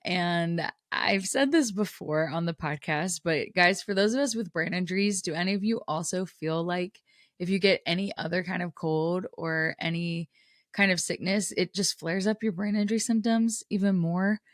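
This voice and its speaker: A woman's voice: fairly low pitch, normal speed, dry recording, studio quality. A woman podcast host, a little scratchy and tired from a cold, talking candidly and casually with frequent laughs. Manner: candid, chatty, laughs often.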